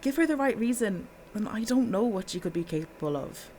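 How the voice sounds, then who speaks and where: A woman's voice: medium pitch, expressive, a little fast, warm tone, a little hiss or hum, close to an everyday voice, low-quality recording. An actress playing a bitter, exasperated female witness in an audio drama, blunt and indignant. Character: bitter, exasperated woman giving a witness statement.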